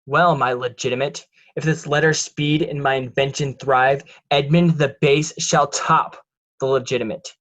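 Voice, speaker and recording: A man playing a scheming, resentful illegitimate son in a deliberate, declamatory Shakespearean drama reading. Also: medium pitch, fairly even intonation, a bit bright, close to an everyday voice. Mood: bitter, defiant. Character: scheming, resentful bastard son, smooth deceiver.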